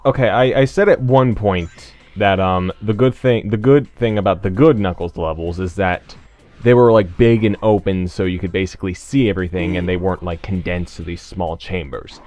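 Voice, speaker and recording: A man giving casual video game commentary, explaining things in a hesitant, measured way. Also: low voice, steady tone, darkish tone, no echo. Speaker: host of a group video game playthrough. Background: video game music.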